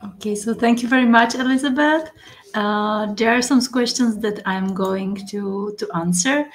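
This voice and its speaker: A woman's voice: a little high, normal speed. A woman moderating an online open day, thanking the speaker and reading out questions with hesitant restarts. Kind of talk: moderating questions on a video call.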